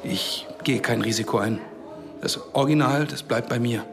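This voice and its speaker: A man's voice: mid-range voice, moderate pace, lightly acted. An actor playing a proud, stubborn Palestinian man in a radio drama, with a pointed, bitterly ironic manner. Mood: insistent. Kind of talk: German radio drama dialogue. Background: music.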